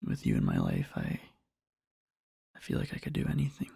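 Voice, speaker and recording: A man playing an adoring boyfriend in a soft ASMR roleplay, murmuring slowly and tenderly to a sleeping partner in a breathy, husky whisper. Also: extremely low pitch, huge pitch swings, no room sound, clean studio sound, exaggerated voice. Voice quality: breathy, husky, with creaky fry. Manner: slow, halting, tender murmuring.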